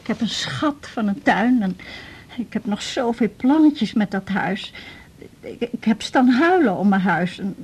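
A woman's voice: mid-range voice, expressive, a little mellow, breathy edge. An actress playing a frail, talkative old Dutch widow, a patient clinging to her house, in a radio drama. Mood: wistful, anxious. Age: older. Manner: chatty, plaintive, with halting repetitions.

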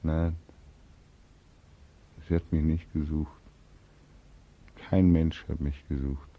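An actor playing a bitter, despairing young war veteran in a 1940s radio drama, brooding and accusing in manner. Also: male voice, rumbling low, level tone, takes it slowly, lo-fi sound, exaggerated voice. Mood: anguished, weary.

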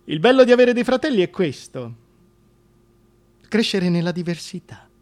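An actor playing a bitter, scheming, deformed Shakespearean duke who pities himself, moving between declaimed verse and hushed confession in a radio drama. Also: male voice, sits high, sing-song, normal speed. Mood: confiding. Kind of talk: theatrical radio drama monologue.

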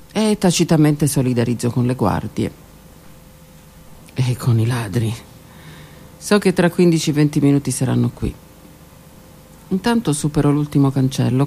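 Gravelly woman in a dramatic monologue. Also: a bass voice, mellow, a little hiss or hum. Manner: introspective, dramatic delivery, drops to whispers. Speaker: stage performer. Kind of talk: theatrical monologue for radio. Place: stage or studio performance.

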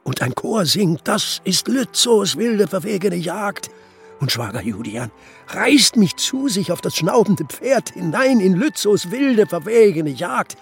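An actor playing a complacent, respectable older mayor in a radio play, creaky and measured, able to swell into declamation. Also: male voice, high-pitched, big pitch swings, faint music behind, exaggerated voice. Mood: agitated, dreamlike. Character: self-satisfied older German mayor, respectable, uneasy dreamer. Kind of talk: German radio play acting.

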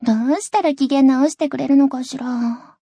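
A woman's voice: sits high, normal speed, warm tone, dry recording, studio quality. A woman voice acting a self-assured teenage big sister in an anime game, scolding and teasing yet doting. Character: bossy, teasing teenage big sister, swim club captain.

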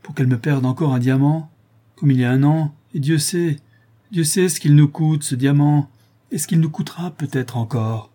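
A man's voice: medium pitch, normal speed, mellow, slightly rough, voice pushed up, close to an everyday voice. A man voicing a weary, exasperated poor father in a storybook reading, pleading and scolding. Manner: pleading, scolding, raising his voice.

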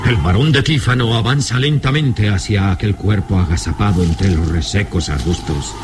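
A man narrating a gothic adventure radio serial in a solemn, suspenseful manner with deliberate phrasing. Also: lowish voice, lightly acted. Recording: old radio serial with dramatic music. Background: dramatic music.

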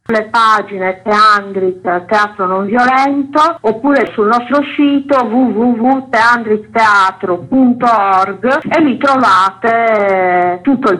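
A woman with a husky voice, explaining a project as an interview guest. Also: a little high, fairly bright tone, low-quality recording. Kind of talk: interview answers, promotional explanation.

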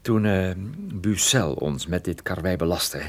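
A man playing a wry, stubborn private detective in a Dutch radio play, explaining things in a conversational, halting way. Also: sits low. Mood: urgent, resolute. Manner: conversational, hesitant interjections, explanatory. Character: wry, stubborn male private detective, injured but determined.

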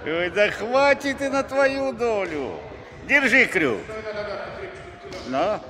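A kindly older sailor in a radio drama, folksy and rambling. The voice is high for a man and swoops widely, heard in a long reverb over faint noise. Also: very animated, slow pace, a crowd in the background, heavily acted. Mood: hearty, calling out. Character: kindly, chatty older seaman, folksy and encouraging. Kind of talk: Russian radio play acting.

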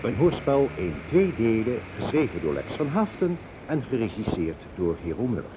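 Lively male radio announcer, noisy recording. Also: mid-range voice, moderate pace, muffled recording. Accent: Netherlands Dutch. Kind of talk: scripted radio drama introduction.

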